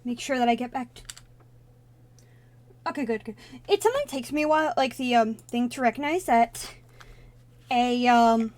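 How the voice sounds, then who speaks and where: A woman's voice: a little high, moderate pace, pauses often, darkish tone. A woman whose first language is Russian, casually commenting on a game on a live stream and thinking aloud as she wanders into tangents.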